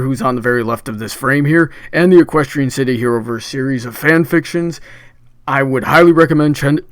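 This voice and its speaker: A man's voice: medium pitch, no echo, studio quality. A man hosting a live debate stream in a chatty, upbeat way, with frequent filler restarts. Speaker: debate livestream host. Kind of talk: casual hosting chat.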